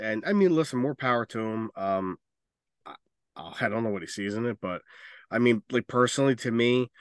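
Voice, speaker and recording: A man's voice: mid-range voice, a bit bright, dry recording, clean studio sound. A man co-hosting a podcast in casual, opinionated discussion, speaking emphatically and swearing freely. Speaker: podcast co-host.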